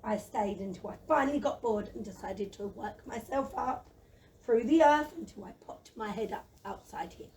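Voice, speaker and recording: A woman in a children's audio play voicing a gentle, lazy, timid dragon who chatters in a plaintive, excitable, wordy way. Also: fairly high pitch, moderate pace, close to an everyday voice. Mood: excited. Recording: noisy, slightly distant microphone.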